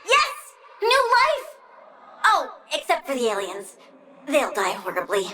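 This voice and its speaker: A woman's voice: sits high, wildly swooping pitch, unhurried, pushed and bright, heavily acted. A woman playing a childlike plant-human girl villain in a video game, bratty and petulant, swinging from chirpy to gleefully vengeful menace. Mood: bossy, menacing.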